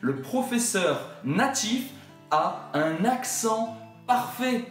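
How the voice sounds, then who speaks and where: A man with a French accent and a high, very lively voice teaches as co-host of a playful debate. He is a native French speaker, recorded in an echoey room with faint music. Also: extremely slow. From France. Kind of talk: explanatory video talk, playful debate. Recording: roomy echo, faint music behind.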